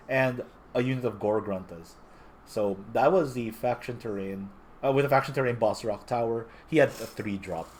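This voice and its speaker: A man's voice: mid-range voice, slight background noise. A young man with a slightly nasal, under-the-weather voice, talking casually through a recap. He often breaks off and corrects himself mid-sentence as he goes. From Philippines. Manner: rambling, frequent self-corrections. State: a little sick. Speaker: tournament player reporting.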